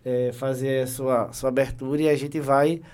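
A middle-aged man hosting a casual live podcast, speaking haltingly with many fillers and joking asides. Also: medium pitch, flat delivery, darkish tone, clear, resonant tone. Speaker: podcast host, insurance team leader.

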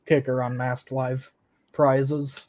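A man's voice: mid-range voice, flat delivery, slow, a little mellow. A young man, an engineering student, answering interview questions casually and hesitantly, with many fillers. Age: young adult. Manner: hesitant, filler-heavy, laughing at himself.

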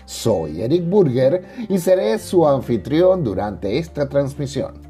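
A man's voice: medium pitch, big pitch swings, slow pace, dark tone. A man hosting a podcast, giving a scripted introduction to the show. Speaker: podcast host. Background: music with guitar.

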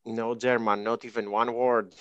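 A man in his twenties from Greece, a guest talking through his life story in a relaxed, reflective way, with pauses and fillers. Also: medium pitch, clear, resonant tone, no echo, highs cut off. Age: 20s. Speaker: interview guest. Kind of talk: casual conversation.

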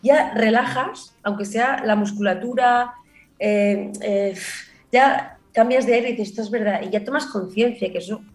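Woman w barcelona accent, comedy writer chatting, lively storyteller, laughs a lot. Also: on the high side, moderate pace. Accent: Barcelona Spanish. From born in Granada, raised in Barcelona. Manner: lively storyteller, laughs often, many restarts. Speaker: comedy writer and performer, guest. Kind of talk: casual interview conversation.

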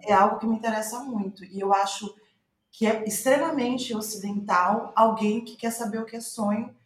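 A woman with a Brazilian Portuguese accent in a reflective interview, thinking aloud haltingly and trailing off. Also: on the high side, roomy sound. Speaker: playwright, podcast guest.

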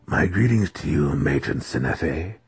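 A man voicing a nervous, desperate male dark elf impostor who mutters and stammers, in an audiobook. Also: a bass voice, lively intonation, unhurried, husky, no echo, voice pushed down, full character voice.

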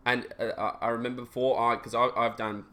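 A young man hosting a casual interview, easygoing and halting with plenty of fillers. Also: mid-range voice, little pitch movement, bright, forceful tone. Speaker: podcast host.

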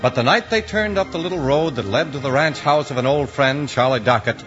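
A man's voice: mid-range voice, a little fast. An American man doing dramatic, polished scripted narration as a 1950s radio announcer. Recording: dull old radio recording. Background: loud music.

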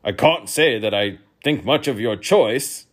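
A man's voice: medium pitch, expressive, unhurried. A man voicing a pompous, irritable storybook king with a commanding, snappish manner. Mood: grand, disapproving.

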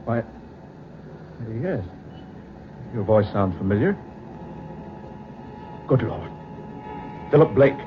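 An actor playing a genteel gentleman haunted by a rival, in a theatrical 1940s radio manner. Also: mid-range voice, sing-song, takes it slowly, long pauses. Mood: startled, nervous. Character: suave gentleman turning frantic, haunted by a rival. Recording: muffled, hissy old radio recording.

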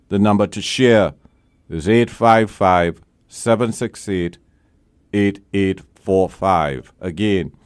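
Slow, deep-voiced blunt lawyer host. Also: male voice, smooth, no room sound, clean studio sound. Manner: emphatic, blunt, repeats key phrases. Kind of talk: call-in legal advice show.

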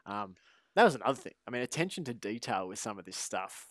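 A man's voice: fairly low pitch, very animated, fast, no echo. A man co-hosting a sports podcast, chatting playfully and reading a story aloud. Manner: playful, laughs often. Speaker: podcast co-host.

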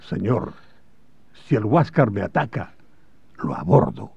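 A man's voice: lowish voice, sing-song, a little slow, vocal fry, breathy edge, no echo, full character voice. Man playing a restrained, resolute naval commander, muffled. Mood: quietly resolute. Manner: terse, formal, restrained. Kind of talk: historical radio drama acting. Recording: dull old radio drama recording.

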